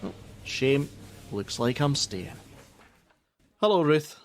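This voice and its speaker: A man acting a gruff, jaded helpline worker. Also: mid-range voice, big pitch swings, takes it slowly, a little hiss or hum. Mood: dry. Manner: blunt, sardonic, defensive. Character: jaded, gruff, hot-tempered male crisis-line worker and father. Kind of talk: naturalistic radio play acting.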